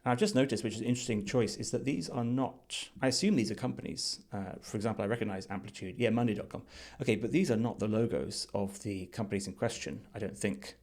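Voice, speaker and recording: A man with a fairly low voice talks through what is on his screen as a reviewer. His delivery is unscripted and thoughtful, measured as he reasons aloud. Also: a little mellow. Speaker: design reviewer. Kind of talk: unscripted screen-share commentary.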